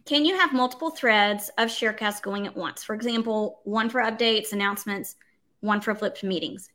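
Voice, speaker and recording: A woman's voice: mid-range voice, moderate pace, sharp tone. An enthusiastic, emphatic woman chatting casually as an instructional coach leading a livestream tutorial and answering comments.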